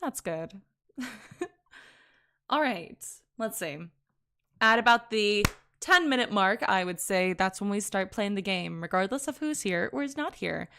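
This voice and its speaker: A woman's voice: mid-range voice, expressive, unhurried, gravelly, pauses often, fairly bright tone. A woman streaming casually to her chat, giggling often and correcting herself mid-sentence. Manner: giggly, chatty, laughs often between sentences. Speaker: VTuber streamer.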